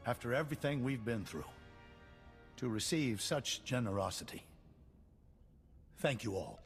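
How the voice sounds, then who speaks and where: An actor playing an earnest, resolute colony leader in a science-fiction video game, speaking in a formal, emphatic way. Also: male voice, mid-range voice, animated, measured pace, faint music behind. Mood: grateful, earnest. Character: earnest middle-aged male colony leader, grateful and resolute. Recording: clear background noise and ambience.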